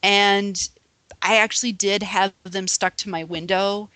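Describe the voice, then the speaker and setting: A woman's voice: medium pitch, little pitch movement, unhurried, sharp tone, no echo. A young adult woman co-hosting a podcast, chatty and giggly, with many fillers. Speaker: podcast co-host. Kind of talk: casual chat showing collectibles.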